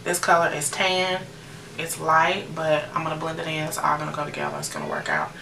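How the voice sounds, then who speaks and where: A woman's voice: low voice, animated, fairly quick, creaky, bright, forceful tone. An American woman in her late thirties chatting casually to viewers as she narrates a makeup tutorial in an informal way. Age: late 30s. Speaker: beauty vlogger. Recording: roomy, distant mic with noticeable background noise.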